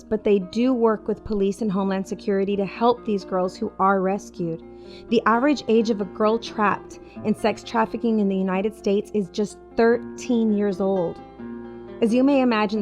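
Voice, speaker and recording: Female PSA reader. Noticeable vocal fry, mid-range pitch, scripted appeal over a light music bed. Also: a little mellow. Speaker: spokesperson for a charity appeal. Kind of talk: scripted public service announcement.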